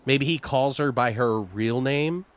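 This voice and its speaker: A man in a casual creative brainstorming chat, informal and sweary, thinking aloud in halting repetitions. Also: medium pitch, clear, resonant tone. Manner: foul-mouthed, informal. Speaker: co-host brainstorming a horror audio drama.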